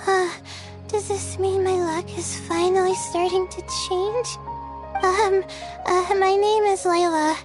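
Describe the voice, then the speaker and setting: A woman's voice: very high voice, little pitch movement, takes it slowly. A woman voicing an exhausted, timid young female student in a video game, with a meek and hesitant delivery. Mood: timid, hopeful. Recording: music behind the voice.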